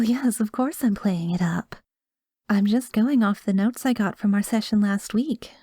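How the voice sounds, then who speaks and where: Woman acting a possessive, soft-spoken female therapist, close and dry. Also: medium pitch, dry recording. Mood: composed, coaxing. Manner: soft-spoken, breathy asides, coaxing and controlling. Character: doting, possessive female therapist, manipulative and smoothly professional. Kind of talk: ASMR roleplay acting.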